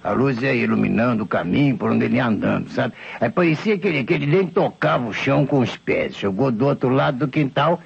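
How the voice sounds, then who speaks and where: An actor playing an old, tipsy Brazilian vagabond in a radio mystery serial, husky and stammering as he rambles humbly. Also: mid-range voice, expressive, brisk, few pauses, heavily acted. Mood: awed, insistent. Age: older. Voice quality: gravelly, husky. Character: old tipsy male vagabond beggar, frightened, insistent witness. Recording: muffled vintage radio drama recording.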